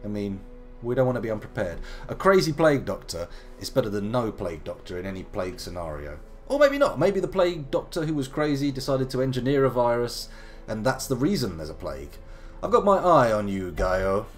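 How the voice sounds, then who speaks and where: A man in his thirties talks his way through a live game stream, his voice gravelly from a slight cold. He chats playfully with lively swings in pitch, drifting into jokey asides. Faint game music sits behind him. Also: a little fast, few pauses. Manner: chatty, playful, wandering asides. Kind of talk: casual live game commentary.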